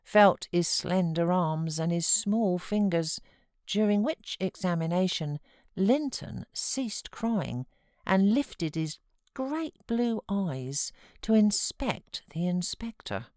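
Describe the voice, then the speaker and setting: A woman with a British accent giving measured, expressive literary narration as an audiobook reader. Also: lowish voice, dry recording, clean studio sound.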